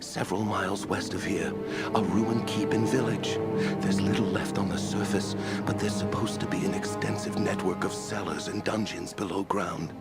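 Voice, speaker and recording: A wounded game guard talks in a lowish, strained voice, earnest and formal. Loud music plays behind him. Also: male voice, steady tone, normal speed. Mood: weary, earnest. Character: weary, wounded male guard, earnest and grateful. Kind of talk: video game dialogue acting.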